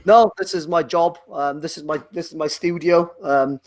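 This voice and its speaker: A man's voice: a little high, fairly even intonation, normal speed, no echo, clean studio sound. A young adult man from South Wales with a Welsh accent, chatting as a podcast guest and rambling with lots of fillers and restarts. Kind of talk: casual chat.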